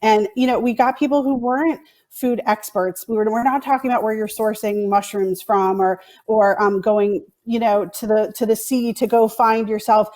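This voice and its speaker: A woman's voice: on the high side, steady tone, normal speed, darkish tone. A woman speaking conversationally as a producer answering on a panel.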